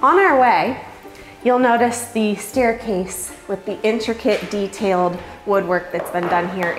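A woman in her forties giving an enthusiastic, promotional home tour to camera as a real estate agent. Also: mid-range voice. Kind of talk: upbeat promotional presentation to camera. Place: house porch and empty wood-panelled rooms. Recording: roomy echo, music underneath. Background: background music.

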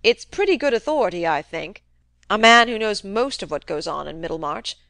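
A woman voicing a cantankerous, domineering old country man who talks in a loud, emphatic, wheedling way. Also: mid-range voice, animated, moderate pace, creaky, no room sound.

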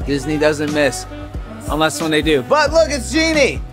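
A man's voice: fairly high pitch, sing-song, moderate pace. A man in his thirties vlogging casually on a cruise ship, upbeat and laughing often, filmed on a handheld camera. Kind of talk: casual vlog chat. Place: busy cruise ship restaurant and lounge. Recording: loud music and crowd behind.

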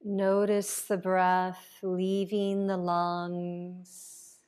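A woman leading a guided meditation as a yoga nidra teacher, calm and unhurried, leaving long pauses between phrases. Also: on the low side, clear, resonant tone.

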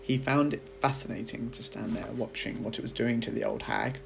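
A man reading a children's story aloud like a teacher, with expressive narration. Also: mid-range voice, normal speed, vocal fry, a little hiss or hum, low-quality recording.

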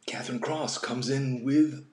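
A man in his sixties hosting a casual live drawing session, speaking haltingly and thoughtfully and often trailing off into a murmur. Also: mid-range voice, steady tone. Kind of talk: unscripted casual talk while drawing. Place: home kitchen, indoors. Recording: roomy echo, faint background noise.

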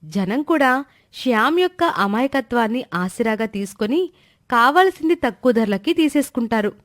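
A woman's voice: a little high, animated, moderate pace, no echo, studio quality. A woman narrator reading a scripted moral story in a storytelling style.

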